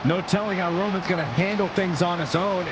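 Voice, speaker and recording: Man, wrestling broadcast commentator, elevated pitch, heavy background noise. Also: fairly quick. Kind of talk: sports commentary. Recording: clearly noisy arena ambience. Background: loud ambient noise.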